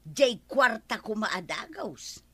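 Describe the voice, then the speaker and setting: Grumbling, exclamatory village wife, Ilocano accent, bright high voice, for radio comedy drama. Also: female voice, very animated, takes it slowly, husky, no echo, full character voice. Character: grumbling village wife tired of farm work.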